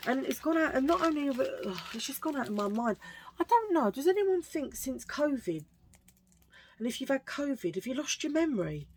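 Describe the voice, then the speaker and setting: A middle-aged woman with a husky low voice, chatting in a rambling, self-mocking way while showing a thrift haul. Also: sing-song, fairly quick, a little mellow, rough recording. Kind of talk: casual chatty haul video.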